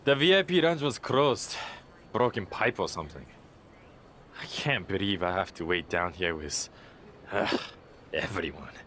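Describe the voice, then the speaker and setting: A man's voice: sits low, expressive, unhurried, long pauses, a bit bright, a little hiss or hum. A man playing an arrogant star wrestler in a video game cutscene, condescending, haughty and smug. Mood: disdainful. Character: arrogant, condescending star wrestler who thinks himself above everyone.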